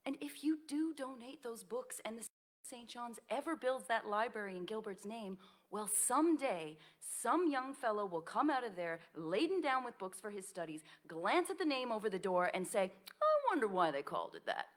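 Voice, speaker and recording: A woman's voice: on the high side, sing-song, moderate pace. A woman in a staged play reading, playing an aging nursing professor who tenderly and earnestly reassures her friend. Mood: wry, earnest.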